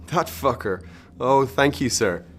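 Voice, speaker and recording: A man's voice: mid-range voice, lively intonation, slow, a little hiss or hum. A man acting a callous, obedient henchman agent in a radio drama, matter-of-fact and menacing.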